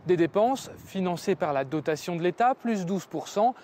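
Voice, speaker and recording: High-pitched Frenchman narrating a report. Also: expressive, moderate pace, a little mellow, a little hiss or hum. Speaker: TV news reporter.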